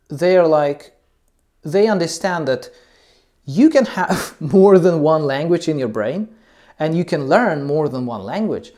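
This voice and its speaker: A man's voice: fairly high pitch, mellow. A man who teaches English, a non-native speaker, talking loosely about teaching with many ums and uhs. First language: not English. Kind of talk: casual talk to camera about teaching.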